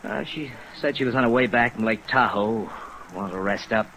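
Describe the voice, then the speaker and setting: A man's voice: fairly low pitch, moderate pace, a bit bright, poor audio. A man acting as a chagrined, middle-aged veteran hotel operator in a 1950s radio drama, hesitant and self-mocking. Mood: sheepish recounting. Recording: vintage radio recording under rain noise.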